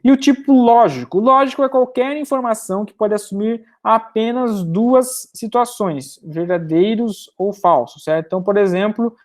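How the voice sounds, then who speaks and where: A woman's voice: on the high side, moderate pace, hardly any pauses, a little mellow. Woman lecturing, checking in often. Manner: explanatory, checks in often with "certo?". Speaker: university lecturer. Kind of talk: recorded programming lecture.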